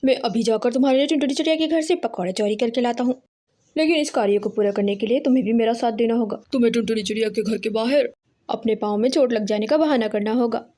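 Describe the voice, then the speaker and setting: Woman as a high-voiced sly crow husband. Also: quick pace, mellow, close to an everyday voice. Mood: placating, scheming. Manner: placating, scheming. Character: sly thieving male crow, henpecked husband.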